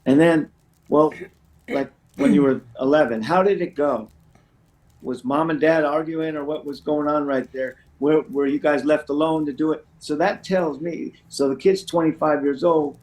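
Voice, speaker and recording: Middle aged guy, voice a bit high, chatty recovery counselor telling stories, lots of you knows. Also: steady tone, normal speed, darkish tone. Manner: rambling, repeats "you know". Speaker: guest, addiction recovery counselor. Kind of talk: conversational interview, sharing personal anecdotes.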